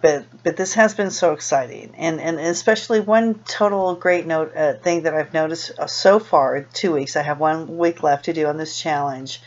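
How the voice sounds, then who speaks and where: A woman's voice: low voice, moderate pace, few pauses, darkish tone, highs cut off. A middle-aged American woman, a native Spanish speaker raised in Los Angeles, chatting casually and a little hesitantly to camera in a rambling way. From Los Angeles, USA, born in Peru. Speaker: video blogger. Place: small room indoors.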